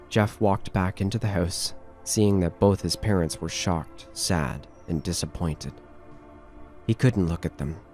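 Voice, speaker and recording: A man's voice: sits low, darkish tone. A man reading scripted narration for a horror audio drama.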